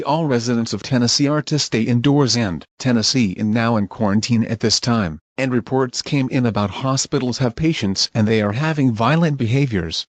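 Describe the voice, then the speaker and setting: A man's voice: medium pitch, dry recording. Man reading emergency alerts, very dry sound. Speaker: announcer of a fictional emergency alert. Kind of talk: scripted emergency broadcast announcement.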